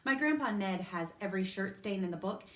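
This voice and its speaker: A woman reading aloud, voicing a scheming little boy with a hushed, theatrical way of talking. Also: mid-range voice, animated, brisk, reverberant, close to an everyday voice. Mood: dramatic.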